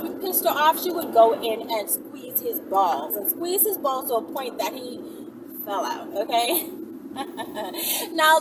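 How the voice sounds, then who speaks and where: Woman, 40s, chatty vlogger, very lively intonation, recorded away from the mic in a vehicle. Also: high voice. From the Bahamas. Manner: chatty, playful, rambling with asides. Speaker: vlogger telling stories to viewers. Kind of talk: casual storytelling vlog. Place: inside a moving vehicle. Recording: distant mic, vehicle noise.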